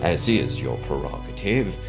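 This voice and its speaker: A man's voice: a bass voice, big pitch swings, over music, heavily acted. Pompous, theatrically swooping mystery benefactor played by a man. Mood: condescending. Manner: pompous, florid, formal, theatrical pauses. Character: mysterious wealthy male benefactor, pompous, grandiose, slyly menacing. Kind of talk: scripted audio drama monologue.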